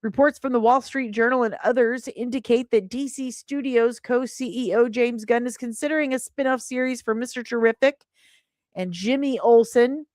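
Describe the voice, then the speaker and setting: A woman's voice: fairly high pitch, a little mellow, no room sound, clean studio sound. A woman hosting a casual entertainment livestream, chatting playfully and teasingly, often repeating phrases for emphasis. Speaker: livestream host. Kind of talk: casual livestream chat about entertainment news.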